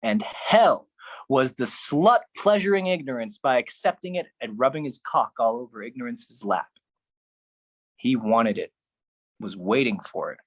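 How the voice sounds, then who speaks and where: A man performing a theatrical spoken-word poetry monologue, phrased deliberately and dramatically, with shifting intensity. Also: medium pitch, fairly bright tone, no room sound.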